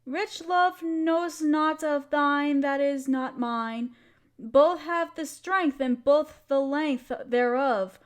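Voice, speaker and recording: A woman's voice: high voice, slow pace. A woman playing an affectionate, playful young wife in an intimate roleplay audio, talking tenderly and teasingly to her partner. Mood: tender, reciting.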